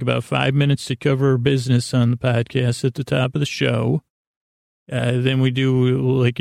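Male sleep-podcast host, heavy vocal fry, dry close sound; halting, whimsical, self-deprecating rambler. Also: mid-range voice, a little mellow, no room sound. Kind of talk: rambling bedtime monologue.

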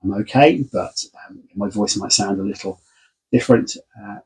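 Snuffly pastor with a husky, dark voice. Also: male voice, lowish voice, normal speed, rough recording. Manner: friendly, conversational, informal. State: congested, under the weather. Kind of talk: casual sermon and Bible reading. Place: home study in a converted garage.

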